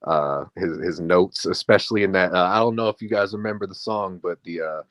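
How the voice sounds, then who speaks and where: A man being interviewed about his music, talking in a relaxed, laid-back way. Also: low-pitched, a little fast, dry recording. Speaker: guest musician. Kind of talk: casual interview chat.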